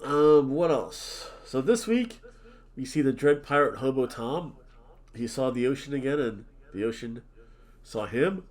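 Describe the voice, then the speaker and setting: A man's voice: medium pitch, lively intonation, a little slow, darkish tone. A man from New Jersey, nasal and sniffly from allergies, rambling and joking as a podcast co-host while drinking. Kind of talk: casual chat.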